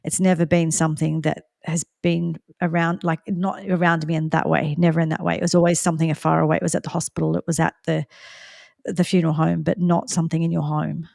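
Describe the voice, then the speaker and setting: A woman's voice: low voice, level tone, fairly quick, gravelly, a little mellow, dry recording, studio quality. A woman, hosting a podcast in a chatty, friendly way and laughing often. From Australia. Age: 50s. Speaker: podcast host. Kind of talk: casual interview conversation.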